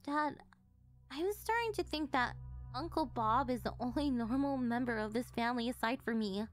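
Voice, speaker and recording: Casting: adult actress as teenage daughter, very high voice, indignant and flustered, the sane one in an audio roleplay. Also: female voice, normal speed, lightly acted. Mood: exasperated disbelief. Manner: indignant, protesting, emphatic. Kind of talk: anime-style audio roleplay.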